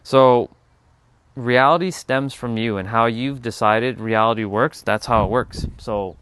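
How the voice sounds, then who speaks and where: A man's voice: medium pitch, a little slow, fairly bright tone, a little hiss or hum. Rambling man hosting a spiritual livestream. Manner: rambling, musing, answers viewer chat; casual, swears offhandedly. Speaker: livestream host. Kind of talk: casual spiritual livestream chat.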